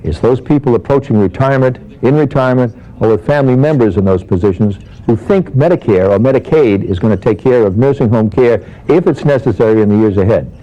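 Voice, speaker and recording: An older man giving scripted financial advice in a formal, measured manner, as an expert presenter. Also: mid-range voice, muffled recording. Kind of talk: scripted advisory monologue.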